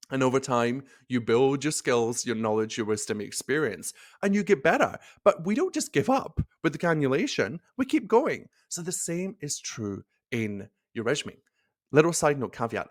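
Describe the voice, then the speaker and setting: A man hosting a casual motivational talk as a nurse coach, expressive and animated, filling his speech with "like". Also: mid-range voice. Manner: expressive, animated, swearing, lots of "like" fillers. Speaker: nurse coach and podcast host.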